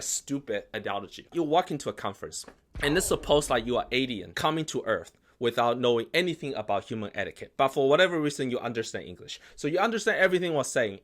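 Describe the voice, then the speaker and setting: Founder type, Chinese native speaker, animated and emphatic, mid-pitched man. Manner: animated, emphatic, acts out quoted reactions. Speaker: investment company founder. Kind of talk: casual explainer talk.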